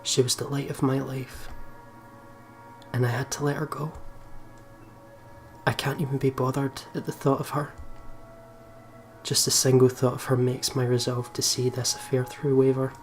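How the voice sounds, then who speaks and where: A man talks through a video game in a casual let's play style, reading story text aloud and adding his own commentary. His pitch sits in a middle range, with some game music behind him. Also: flat delivery, moderate pace, long pauses. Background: visual novel game music.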